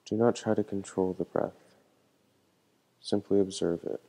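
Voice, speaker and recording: Low, mellow man guiding a meditation. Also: vocal fry, poor audio. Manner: calm, gentle, measured instruction. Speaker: meditation teacher. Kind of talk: guided meditation.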